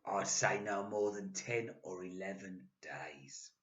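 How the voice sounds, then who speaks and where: A woman voicing a nasty old husband who taunts his wife loudly and slowly. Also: rumbling low. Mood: gloating. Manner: loud, slow, mocking taunts. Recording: echoey room, dull lo-fi.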